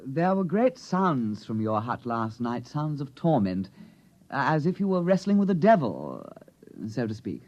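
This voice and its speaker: An actor playing a politely deadly alien warrior with clubby, offhand gentlemanly phrasing in a 1950s radio comedy. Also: male voice, mid-range voice, big pitch swings, a little slow. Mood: politely suspicious. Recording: dull vintage radio recording.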